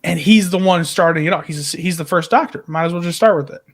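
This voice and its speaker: High-pitched, excitable man talking fan theories. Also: fast talker. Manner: excitable, emphatic, sweary. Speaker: podcast co-host. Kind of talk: casual fan discussion, pitching story ideas.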